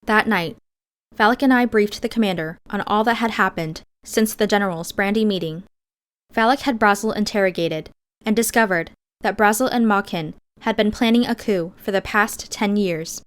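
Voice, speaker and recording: A woman's voice: medium pitch, normal speed, clear, resonant tone. Woman narrating a fiction audiobook. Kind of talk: scripted first-person fiction narration.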